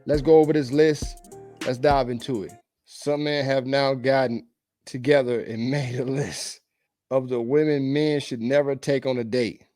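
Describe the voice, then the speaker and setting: A man talks through dating opinions in a loose, rambling, conversational way. His voice is warm and mellow, with a slight hoarseness from a cold. Faint music plays in the background. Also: mid-range voice, fairly even intonation, unhurried, dry recording. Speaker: dating commentary vlogger. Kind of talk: casual opinionated commentary.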